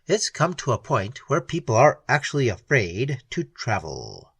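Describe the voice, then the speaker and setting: A reader doing a gentle, earnest man, voice dropped well below the narration. He pleads softly and sadly, in a husky storybook voice that is dry and close. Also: mid-range voice, huge pitch swings, unhurried, no room sound, pitched below the performer's own voice, full character voice. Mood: pleading. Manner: soft, pleading, sad. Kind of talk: audiobook dialogue.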